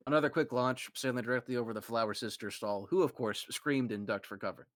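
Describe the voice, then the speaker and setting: A man's voice: medium pitch, fast talker, no echo. A man with a mid-range voice reads a fanfic aloud to friends, now and then cracking up. The sound is dry and close, with no room. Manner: breaks into laughter while reading. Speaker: fanfic reader, host.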